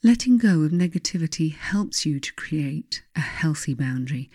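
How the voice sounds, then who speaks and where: A woman reading her own spiritual self-help book as scripted narration, speaking deliberately and earnestly straight to the listener. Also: low-pitched, animated, mellow, no room sound, clean studio sound.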